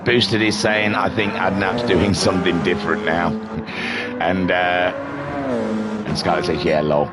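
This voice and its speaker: A man's voice: low-pitched, fairly even intonation, measured pace, very noisy. A middle-aged man from England with a mixed northern and southern English accent, chatting loosely while commentating a live racing stream and reading chat aloud. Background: game music and engine sounds.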